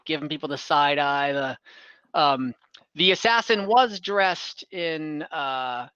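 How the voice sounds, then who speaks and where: A man with a fairly high, very bright voice acts as game master. He describes scenes casually and off the cuff, often pausing to find his way. The sound is dry and close, though slightly dull, as from an online call. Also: clear, resonant tone, no echo. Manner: halting, informal. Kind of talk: casual improvised game narration. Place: online tabletop game session from home.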